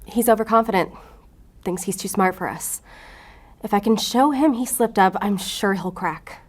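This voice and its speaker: An actress playing a driven, short-tempered special agent in an audio drama, blunt and confrontational. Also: female voice, mid-range voice, moderate pace, natural voice. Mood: frustrated. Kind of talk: audio fiction acting.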